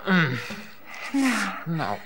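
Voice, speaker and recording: Radio farce husband, middle-aged, put-upon, wry and grumbling. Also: male voice, a little high, lively intonation, very slow, very noisy. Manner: wry, complaining. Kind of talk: radio play acting.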